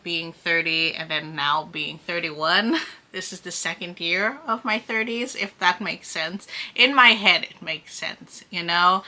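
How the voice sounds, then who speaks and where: A woman's voice: mid-range voice, pushed and bright. A woman in her early thirties chatting casually in a sit-down vlog, rambling and laughing often. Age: 30s. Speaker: vlogger.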